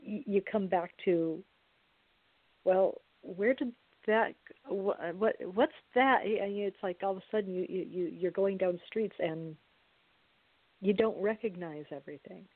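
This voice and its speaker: Woman, medium pitch, casual interview chat, halting repeated words, thin telephone-band sound. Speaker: radio play cast member being interviewed. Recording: tinny, phone-like call audio.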